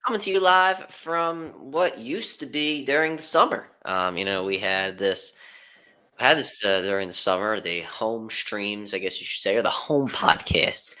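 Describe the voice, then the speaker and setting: A man's voice: lowish voice, a little slow, bright, forceful tone. A young man hosting a casual sports podcast, rambling with lots of ums and uhs. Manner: rambling with many fillers. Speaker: podcast host.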